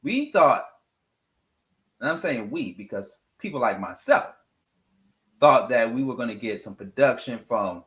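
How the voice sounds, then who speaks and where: Animated man, unhurried, in a roomy space. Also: medium pitch. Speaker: radio morning show host. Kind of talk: casual music discussion.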